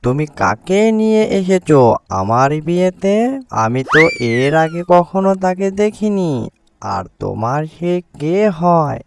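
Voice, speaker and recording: A man's voice: a little high, very animated, a little slow, creaky, clean tone, full character voice. A man voicing a commanding but kindly cat who rules the forest in a cartoon. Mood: questioning, stern. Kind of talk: cartoon dialogue. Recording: music behind the voice.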